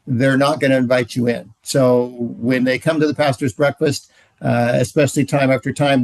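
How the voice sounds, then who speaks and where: A man speaking in a dry, close-sounding space at a middle pitch. He talks plainly and earnestly, filling his pauses with "uh". He sounds like a ministry leader walking trainees through practical advice. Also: darkish tone, dry recording. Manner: plain, earnest, many "uh" fillers. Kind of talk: training session talk.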